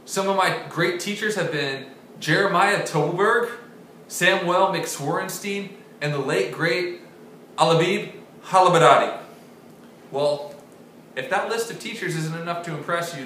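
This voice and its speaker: Deadpan man in a very echoey room. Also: a little high, measured pace. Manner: deadpan, mock-authoritative. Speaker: host of a comedy accent lesson series. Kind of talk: mock-serious comedy lesson. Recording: very echoey room with faint hiss.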